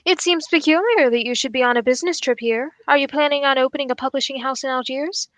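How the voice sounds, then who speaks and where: A young woman plays a keen and courteous detective in an old-time radio mystery. The voice is high and very dry, with formal, deliberate phrasing that stays composed. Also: fairly bright tone, no room sound. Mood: composed, probing. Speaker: actor in a student radio drama.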